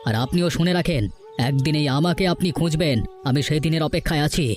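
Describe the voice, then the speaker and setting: A proud, homeless village father from a cartoon folk tale , acted by a man. His voice sits a bit high and he declaims earnestly. Light music plays underneath. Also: brisk, darkish tone, close to an everyday voice. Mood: bitterly defiant. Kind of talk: Bengali cartoon folk-tale dialogue acting.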